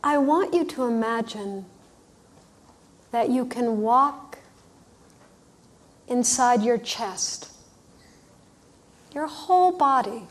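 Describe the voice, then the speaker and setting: Woman leading a guided meditation, really slow, kinda high voice, soothing n gentle, pauses between little phrases. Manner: soothing, gentle, speaking in short pausing phrases. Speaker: workshop leader guiding a visualization. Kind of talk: guided meditation, unhurried storytelling instruction.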